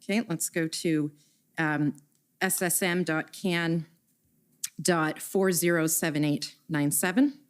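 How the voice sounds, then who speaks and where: A woman questions a witness at a formal public hearing, moving steadily through document references and reading messages aloud. Her voice sits a little low for a woman, and her delivery is measured and procedural. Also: fairly even intonation, smooth. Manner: measured, reads out document numbers and quotes. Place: hearing room.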